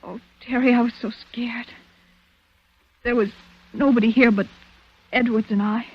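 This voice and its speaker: A woman's voice: on the high side, fairly even intonation, measured pace, slightly rough. An actress playing a frightened young woman in a breathless, pleading manner in a 1940s radio thriller. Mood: shaken relief. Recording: muffled old radio broadcast recording.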